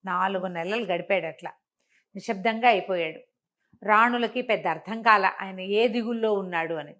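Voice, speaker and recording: A woman telling a moral folk tale in a conversational, animated way, acting out dialogue and asking rhetorical questions. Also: mid-range voice, moderate pace. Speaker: storyteller.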